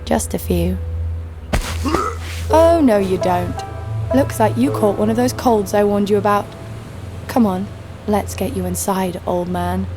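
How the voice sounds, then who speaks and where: A woman's voice: medium pitch, measured pace, long pauses, over music, close to an everyday voice. An actress playing a tough young woman fighter, narrating her own story in an audio drama with dry defiance. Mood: defiant. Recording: clear background noise and ambience.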